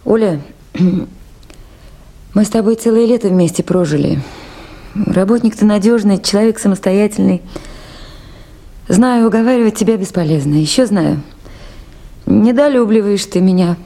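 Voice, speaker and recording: Mellow, resolute woman station chief. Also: mid-range voice. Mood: earnest, pleading. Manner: firm, measured, authoritative. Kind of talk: 1980s radio play acting. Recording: faintly noisy 1980s radio drama recording.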